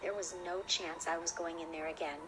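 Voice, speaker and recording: Low-voiced woman narrating horror over loud music. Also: fairly even intonation, fairly quick, low-quality recording. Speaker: narrator reading a horror story. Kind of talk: scripted first-person horror narration.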